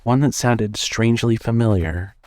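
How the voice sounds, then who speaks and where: A man reading scripted horror fiction as narrator, in a measured storytelling manner. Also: medium pitch, darkish tone, dry recording.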